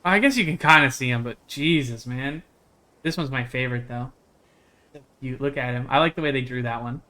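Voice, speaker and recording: A man's voice: mid-range voice, a little fast, bright, forceful tone. A man livestreaming a game, chatting casually in a jokey, slangy way and reading its text aloud. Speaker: game streamer.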